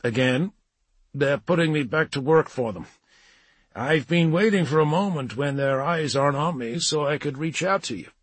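A man's voice: a little high, normal speed, breathy edge, no echo, voice pushed up. A man voicing a breezy, blunt and persuasive male psychic agent in an audiobook. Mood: apologetic. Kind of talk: audiobook character dialogue.

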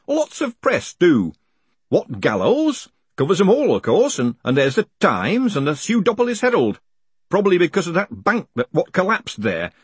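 A man's voice: high-pitched, huge pitch swings, normal speed, husky, dry recording, voice pushed up, exaggerated voice. A man voicing a chatty, cheerfully businesslike hangman who nags politely in chummy, colloquial speech, as in an audiobook.

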